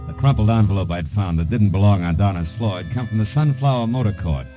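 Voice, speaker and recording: An actor playing a wry, cool American private detective, clipped and deadpan, in a 1950s noir radio drama. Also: male voice, lowish voice, fast talker, highs cut off, soft background music, close to an everyday voice. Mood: grim narration. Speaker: lead detective and narrator.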